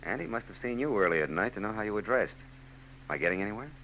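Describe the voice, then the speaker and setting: An actor playing a hardboiled private eye in a 1940s radio drama, dry and sardonic with quick wisecracks. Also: male voice, lowish voice, animated, pushed and bright, slight background noise, low-quality recording. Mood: wry. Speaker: narrator and lead.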